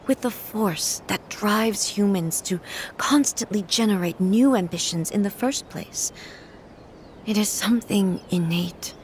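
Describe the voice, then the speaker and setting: Woman acting as a slow, solemn regal female shogun. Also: medium pitch, a little mellow, slight background noise, natural voice. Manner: formal, measured, philosophical delivery. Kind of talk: video game voice acting.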